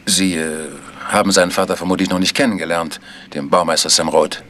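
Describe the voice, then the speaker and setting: An actor playing a protective, proud village organ teacher, earnest and measured, in a German radio mystery. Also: male voice, deep voice, steady tone, pushed and bright, slight background noise.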